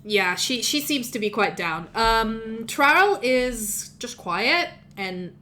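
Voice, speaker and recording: A woman's voice: on the high side, lively intonation, slow, bright, forceful tone. Chatty woman running a tabletop game. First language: Russian. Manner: chatty, rambling, self-correcting, laughs at own slips. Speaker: game master.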